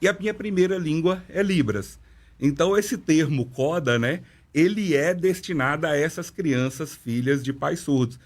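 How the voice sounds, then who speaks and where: A man who teaches and interprets sign language, answering questions in a radio interview in a measured, explanatory way and often adding "né" as he talks. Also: fairly high pitch. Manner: measured explanatory delivery with frequent "né". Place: radio studio.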